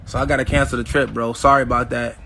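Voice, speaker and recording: A man's voice: mid-range voice, little pitch movement, fast, creaky, pushed and bright, a little hiss or hum. A man in his fifties talking casually to his viewers, boasting in a swaggering way and acting out old conversations. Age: 50s. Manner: swaggering, addresses viewers directly as y'all; acts out past conversations in quotes. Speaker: vlogger. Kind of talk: casual boastful livestream talk.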